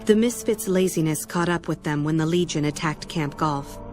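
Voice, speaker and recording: A woman's voice: low-pitched, normal speed, natural voice. A woman playing a stern chronicler, narrating a scripted video game epilogue. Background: music.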